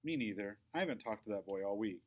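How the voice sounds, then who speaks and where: An earnest young schoolgirl chatting away in a children's storybook, voiced by a man. The manner is eager and expressive, with pitch that moves around a lot. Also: fast, highs cut off. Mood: puzzled, eager. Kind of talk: children's storybook read-aloud.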